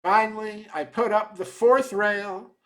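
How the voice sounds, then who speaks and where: Someone reading as a sly swamp trapper, methodical, deliberate storyteller, lays it out step by step like a folk tale. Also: mid-range voice, fairly even intonation, natural voice. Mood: patient, measured. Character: wily, patient swamp trapper, methodical and matter-of-fact.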